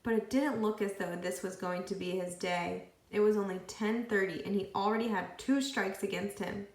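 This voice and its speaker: Female children's story reader, animated and deliberate, some vocal fry, recorded in an echoey room. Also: mid-range voice. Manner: animated, deliberate phrasing with acted dialogue. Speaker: read-aloud storyteller. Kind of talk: expressive children's book read-aloud.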